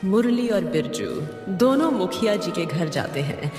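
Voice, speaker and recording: A woman's voice: lowish voice, very animated, a little slow, darkish tone. A woman narrating a scripted story in a dramatic storytelling style. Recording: echoey reverb over faint music.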